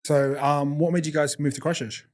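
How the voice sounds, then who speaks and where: A man's voice: a little high, flat delivery, normal speed, darkish tone. A man with a New Zealand accent hosting a podcast, casual and blokey, laughing often. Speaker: podcast host.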